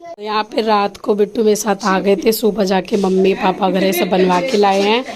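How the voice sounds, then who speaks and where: A woman's voice: mid-range voice, fairly even intonation, moderate pace, crowd noise. A young woman with a sore throat, chatting casually to her vlog viewers and showing things one by one to the camera. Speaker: vlogger. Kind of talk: casual family vlog chat.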